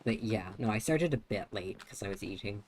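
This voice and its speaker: A man's voice: fairly low pitch, animated, a little fast, darkish tone, a little hiss or hum. A young man streaming a game, chatting casually in a neutral, feminine-leaning voice, rambling with many restarts and fillers. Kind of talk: casual live-stream chat while playing.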